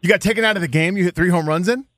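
A male sports radio co-host speaks at a rapid clip in a slightly high voice. He interviews casually and chattily, and the sound is very dry, free of room echo. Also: fairly bright tone.

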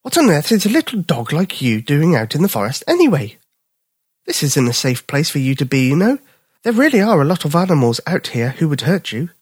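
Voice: mid-range voice, very animated, brisk, slightly rough, no room sound, studio quality, voice pushed up. A storyteller voicing a young male bear cub who is playful and kind. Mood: earnest. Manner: playful, earnest.

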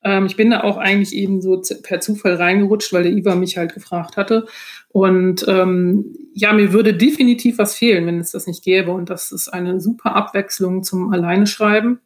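A woman with a mid-pitched voice talks as a guest author in a relaxed interview. She feels her way through sentences in a conversational, unscripted way. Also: normal speed. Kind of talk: casual interview talk.

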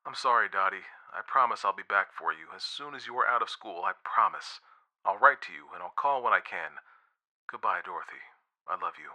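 A man voicing an earnest, devoted young man in an audiobook, soft and gentle. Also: on the low side, expressive, a little fast, close to an everyday voice. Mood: tender, sad. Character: earnest, devoted young man of about twenty-three, tender boyfriend. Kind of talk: audiobook character acting.

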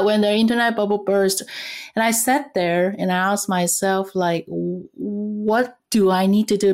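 A woman from Vietnam whose first language is Cantonese, a guest telling her career story in an earnest, slightly halting way. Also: mid-range voice, darkish tone. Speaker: guest, intercultural trainer and coach. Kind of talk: podcast interview.

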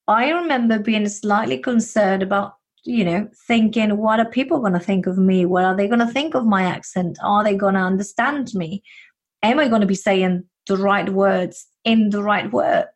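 A woman's voice: mid-range voice, normal speed, husky. A woman with a Spanish accent, giving earnest, encouraging advice in a casual podcast chat, with frequent fillers. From Spain.

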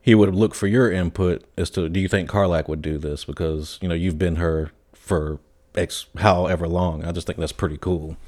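A man's voice: deep voice, steady tone, normal speed, a little mellow. A man speaking casually as a podcast host, putting questions and remarks to a guest. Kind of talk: casual interview chat.

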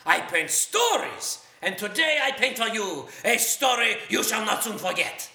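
An actor playing a boastful male painter who narrates a children's musical, with a gravelly, theatrical voice that teases the listeners. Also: mid-range voice, sing-song, a little slow, pushed and bright, roomy sound, exaggerated voice. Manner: grandiose, teasing, addresses listeners.

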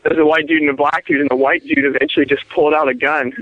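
A man's voice: on the high side, little pitch movement, racing, no room sound, phone-quality sound. A young man from West Virginia chatting casually, loose and rambling, with many fillers. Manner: laid-back, lots of "like" and "um". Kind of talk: casual chat.

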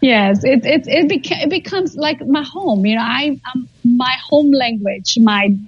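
Husky-voiced woman in her forties, chatty. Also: high voice, animated, measured pace, lo-fi sound. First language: Spanish. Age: 40s. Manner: chatty, repeats words while thinking, laughs often. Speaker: guest. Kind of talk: casual interview chat.

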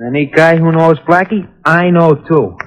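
An actor playing a slangy, eager, uneducated little sidekick in a 1940s radio drama. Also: male voice, fairly high pitch, moderate pace, soft background music, lightly acted. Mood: chummy, eager. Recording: noisy, dull old 1940s radio recording.

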